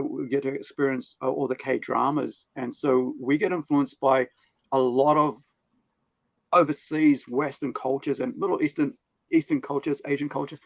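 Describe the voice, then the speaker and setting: A man's voice: medium pitch, gravelly, fairly bright tone, no room sound. A man with a New Zealand accent hosting a casual chat, rambling through his points in an anecdotal way. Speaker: livestream show host.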